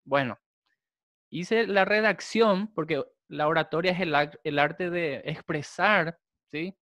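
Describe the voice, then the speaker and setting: A young adult man, a Guarani speaker, preaching a sermon, with slow, emphatic repetition. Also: on the high side, fairly bright tone, no room sound, lo-fi sound. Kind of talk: sermon with prayer.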